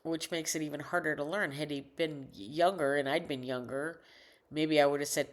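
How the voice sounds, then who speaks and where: Low-voiced older woman, rambling chat. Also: fairly even intonation. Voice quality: low alto voice. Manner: rambling, halting, many restarts and self-corrections. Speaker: vlogger. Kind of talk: casual personal monologue. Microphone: desk microphone.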